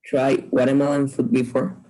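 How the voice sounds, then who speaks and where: A man's voice: medium pitch, flat delivery, normal speed, warm tone, poor audio. A male student answering the teacher and reading his sentence aloud in class.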